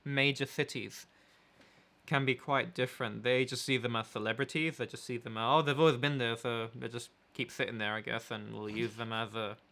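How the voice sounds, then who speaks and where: A young man with a London accent chatting casually with his partner in a relaxed, wordy way, sometimes searching for words. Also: medium pitch, fairly quick. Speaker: photographer and vlogger, co-host.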